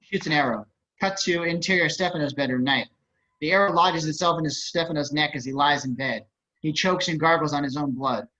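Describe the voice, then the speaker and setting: Bright, higher-voiced man reading stage directions. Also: a little fast. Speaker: table-read narrator. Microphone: video call. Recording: slightly dull video-call audio.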